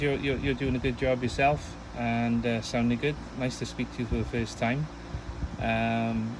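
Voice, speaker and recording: Guy doing casual ham radio chat, rambly, kinda noisy behind him. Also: medium pitch. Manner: chatty, rambling, uses radio callsigns. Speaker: amateur radio operator, channel host.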